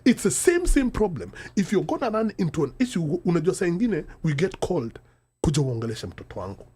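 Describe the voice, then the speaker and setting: A man's voice: fairly high pitch, very animated, brisk, darkish tone, breathy edge. A middle-aged man with a Kenyan accent who switches between English and Sheng in mid-sentence, talking emphatically and asking rhetorical questions in a panel discussion. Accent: Kenyan, mixing English and Sheng Swahili. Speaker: podcast host.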